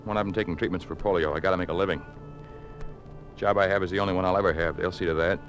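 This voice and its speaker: A man's voice: sits low, steady tone, racing. An actor playing a fearful, resigned working family man, hesitant and evasive, with an American accent in a 1950s radio drama. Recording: old radio recording, music.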